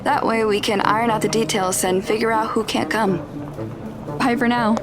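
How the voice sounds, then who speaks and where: A woman acts as a pushy teenage schoolgirl in an audio drama. Her voice is very high, with a huffy, brash edge. Music plays behind the dialogue. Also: fairly even intonation, moderate pace, natural voice. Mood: upbeat.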